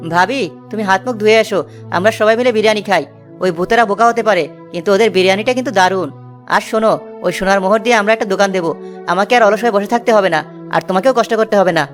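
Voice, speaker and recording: A woman's voice: medium pitch, fast, close to an everyday voice. A woman voicing a lazy, cheeky, good-hearted young village man in a children's cartoon, breezy and boastful. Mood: good-natured. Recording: loud music under the voice. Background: loud music.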